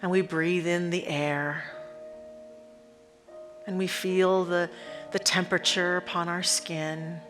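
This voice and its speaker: A woman leading a guided meditation in a reverent, unhurried manner, pausing deliberately between short phrases. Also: fairly low pitch, little pitch movement, a bit bright. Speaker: spiritual leader guiding a meditation. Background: instrumental music.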